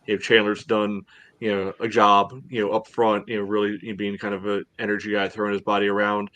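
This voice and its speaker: Male sports podcast host, lowish register. Casual match breakdown, halting phrasing full of "you know.". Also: completely flat delivery, a bit bright, no room sound.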